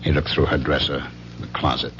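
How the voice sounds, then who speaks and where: Guarded husband and narrator, radio drama: extremely low voice, terse, deliberate, compliant, on a muffled recording. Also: male voice, little pitch movement. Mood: uneasy, guarded. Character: guarded, compliant husband narrating his own suspicious story. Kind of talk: radio drama acting with first-person narration. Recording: dull, noisy old radio broadcast with hum.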